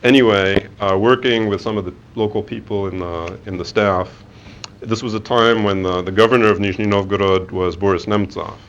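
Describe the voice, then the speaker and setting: A man's voice: fairly low pitch, steady tone, moderate pace, muffled recording, a little hiss or hum. A man in his forties giving a conversational career talk to students, with many fillers and restarts. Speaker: guest speaker. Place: lecture room.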